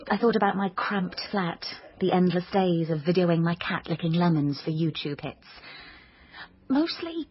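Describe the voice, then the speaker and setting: A woman's voice: fairly low pitch, a little hiss or hum. A woman playing a bookish, awkward young dropout who tells her story like a crime novel in a radio drama, self-deprecating and dramatic. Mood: resolute, wry. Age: young adult. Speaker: narrator and lead character. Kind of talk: radio drama, first-person noir-flavoured narration and dialogue. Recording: dull sound with music behind. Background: music.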